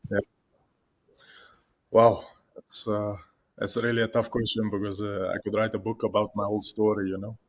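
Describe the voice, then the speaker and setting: Low, flat-voiced young man. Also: normal speed, pauses often. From South Africa. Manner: hesitant, many fillers and restarts. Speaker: guest, content creator. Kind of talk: podcast interview.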